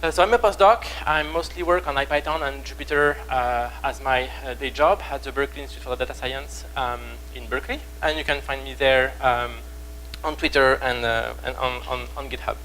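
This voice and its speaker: A young man with a strong French accent giving a conference talk on stage, speaking haltingly with many fillers and restarts. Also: mid-range voice, bright, forceful tone, a little hiss or hum, low-quality recording. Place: conference room.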